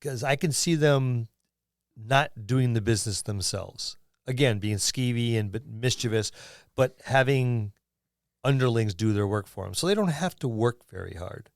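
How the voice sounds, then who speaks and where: A man with a medium-pitched voice co-hosts a gaming podcast. He talks in a loose, halting way, as if thinking aloud. The sound is close and dry, with no room around it. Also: vocal fry, no room sound. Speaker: podcast co-host. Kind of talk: casual chat about tabletop gaming.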